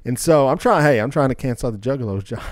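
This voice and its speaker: A man's voice: mid-range voice, lively intonation, fast talker, no echo. Male podcast co-host, casual and opinionated, rambling delivery, dry close sound.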